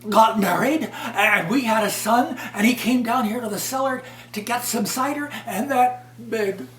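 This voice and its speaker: A woman's voice: on the high side, unhurried, pitched above the performer's own voice, natural voice. A woman doing a fretful young daughter wailing. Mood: anxious wailing. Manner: wailing, rising, breaks into laughter. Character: weepy young woman fretting over imagined danger. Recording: roomy, distant mic, faint hum.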